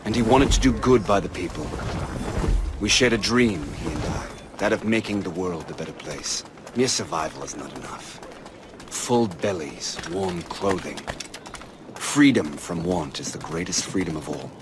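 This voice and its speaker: An actor playing a high-minded colonial-era army colonel in a game cutscene, speaking formally in a measured, gentlemanly manner. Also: male voice, animated, noisy background. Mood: idealistic. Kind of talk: dramatic game cutscene dialogue.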